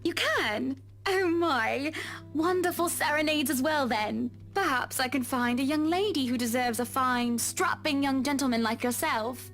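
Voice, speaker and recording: Man doing a teasing married woman, coy falsetto, high-pitched, soft game music behind. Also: a little slow, full character voice. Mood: flirty teasing. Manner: playful, coy, sing-song falsetto.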